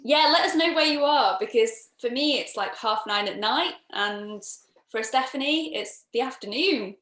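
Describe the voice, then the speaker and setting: A woman's voice: fairly high pitch, moderate pace, fairly bright tone, echoey room. A woman from Northern England with a Northern English accent, chatting cheerfully on a live video call as an inventor and co-host. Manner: cheerful, jokey, greets viewers by name. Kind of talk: casual chat on a live video stream. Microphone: computer mic on a video call.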